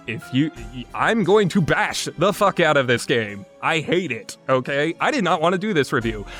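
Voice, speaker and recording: A man's voice: fairly high pitch, a bit bright. Guy doing a blunt sarcastic game review, very up and down voice, bit of music behind. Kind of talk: opinionated review commentary.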